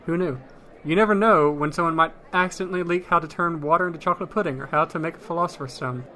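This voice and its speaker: A man's voice: a little high, fast, sharp tone. A man playing a wry, matter-of-fact town mage in a fantasy comedy audio drama, with dry explanatory banter.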